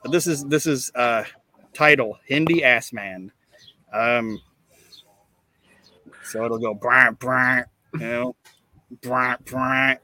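A man hosting a casual comedy podcast, chatting and reading things out in a deadpan way. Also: mid-range voice, takes it slowly, long pauses, no room sound. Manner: deadpan, monotone when reading. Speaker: podcast host. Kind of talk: casual comedic podcast chat with mock recitation.

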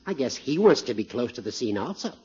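An actor playing a genial, wordy judge with florid, formal phrasing in a 1950s radio comedy. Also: male voice, mid-range voice, big pitch swings, fast talker, warm tone, husky. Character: genial, wordy older judge, Gildersleeve's friend. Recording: dull, muffled old radio recording.

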